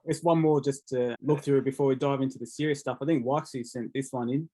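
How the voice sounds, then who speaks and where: A man hosting a casual podcast. His voice sits at a mid pitch. He leads the conversation in a relaxed, easy manner. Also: fairly quick, slight background noise. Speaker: podcast host. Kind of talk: casual interview chat.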